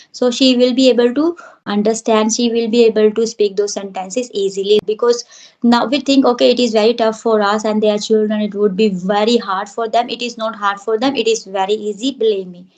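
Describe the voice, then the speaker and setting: A woman's voice: a little high, a little mellow. A woman English teacher giving firm, reassuring advice in an interview.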